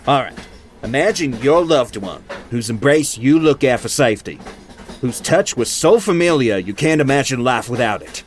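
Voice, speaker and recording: A man's voice: animated, moderate pace, close to an everyday voice. A man playing a thoughtful, questioning steam train crewman in an audio drama, speaking in a curious, reflective way. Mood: earnest, wistful. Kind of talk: amateur audio drama acting. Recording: noisy rumbling train background.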